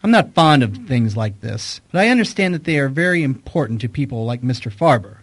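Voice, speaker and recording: A man's voice: mid-range voice, expressive, fairly quick. Male private detective , played by an actor, self-assured and dryly wry, audio mystery drama. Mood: confident, pointed.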